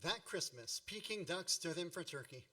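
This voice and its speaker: A man's voice: high voice, lively intonation, normal speed, sharp tone, recorded from a distance, exaggerated voice. An actor playing a grown man who narrates his boyhood memories in a radio play, wry, nostalgic and grandiloquent.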